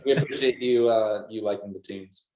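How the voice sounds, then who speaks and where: A man's voice: fairly low pitch, normal speed, phone-quality sound. A young man chatting informally and jokingly as an interview guest, with roomy echo on his voice. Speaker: band member guest. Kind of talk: casual chat.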